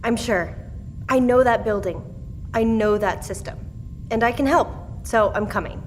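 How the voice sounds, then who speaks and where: A woman's voice: on the high side, moderate pace, slight background noise, natural voice. An actress playing a determined, self-assured female survivor who speaks insistently in an audio drama.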